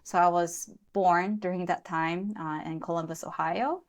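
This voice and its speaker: Soft-spoken young woman, lowish voice. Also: steady tone, normal speed. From born in the US, raised in Japan, Korea and the US. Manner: soft-spoken, thoughtful, with fillers and occasional laughs. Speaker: podcast guest, event planner. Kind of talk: casual interview talk.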